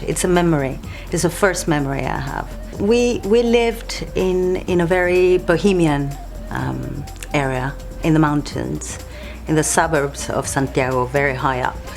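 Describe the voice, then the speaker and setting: A middle-aged woman from Chile, thoughtfully recalling her childhood in a documentary interview, with frequent pauses and ums. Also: deep voice, gravelly. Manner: reflective, unhurried, frequent ums, light laughs. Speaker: artist interviewee. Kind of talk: documentary interview, personal recollection.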